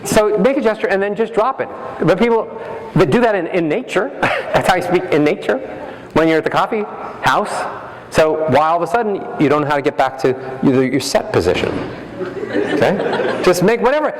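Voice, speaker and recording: A man giving a motivational keynote as a voice coach, animated and playful, acting out examples with his voice. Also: high-pitched, normal speed, a faint crowd. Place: large hall. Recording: echoey hall, faint ambience.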